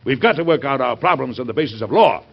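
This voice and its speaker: A man playing an indignant, burdened middle-aged American president in an old radio drama, speaking formally and emphatically with a gravelly, husky voice. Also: mid-range voice, expressive, fast. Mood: firm, impatient. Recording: muffled vintage radio broadcast.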